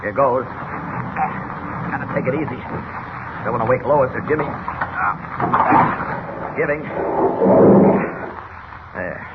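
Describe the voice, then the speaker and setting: An actor playing a confident, powerful superhero muttering to himself in self-assured 1940s radio style. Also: male voice, mid-range voice, slow pace, pauses often, close to an everyday voice. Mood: determined. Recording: very noisy, dull old radio recording.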